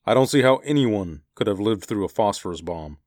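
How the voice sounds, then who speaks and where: Guy reading a confident pilot, audiobook dialogue, low voice, man of action. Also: expressive, a little fast, darkish tone, dry recording, clean studio sound, lightly acted. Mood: excited.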